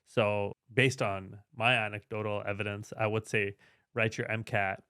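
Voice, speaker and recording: Male podcast host, smooth voice, dry close mic, chatty and casual. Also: lowish voice, flat delivery, a little slow, pushed and bright, dry recording. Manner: chatty, tags lines with "right?". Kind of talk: casual interview talk.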